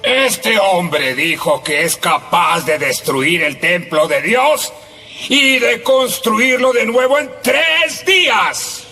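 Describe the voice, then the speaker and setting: A spiteful accuser in an audio drama. The voice is extremely high and sharp, taunting and drawn out, echoing in a big reverberant space. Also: male voice, lively intonation, slow, breathy edge, heavily acted. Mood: accusing. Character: mocking, hostile male accuser from the crowd. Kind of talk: dramatized audio Bible acting. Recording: long reverb, music and crowd behind.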